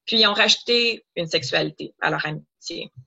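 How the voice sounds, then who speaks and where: A woman's voice: lowish voice, moderate pace, vocal fry, bright, forceful tone, slightly rough, muffled recording. A young woman from Ottawa, a doctoral student guest in an interview, explaining at length with many fillers. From Ottawa, Canada.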